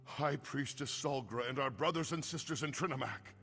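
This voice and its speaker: An actor playing a worried, earnest orc temple priest in a video game, speaking with urgent respect. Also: male voice, medium pitch, normal speed, bright, forceful tone, in a large hall. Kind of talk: dramatic video game voice acting. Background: game music.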